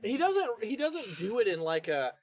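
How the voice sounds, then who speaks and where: A man's voice: high-pitched, big pitch swings, normal speed. A man co-hosting a podcast in casual, joking chat, rambling and tongue-in-cheek. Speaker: podcast co-host.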